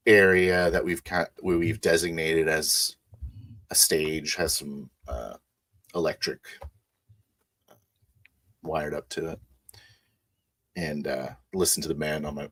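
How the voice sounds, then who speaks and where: Very deep-voiced male tabletop player. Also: steady tone, measured pace, long pauses, fairly bright tone, no room sound. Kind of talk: improvised roleplay narration.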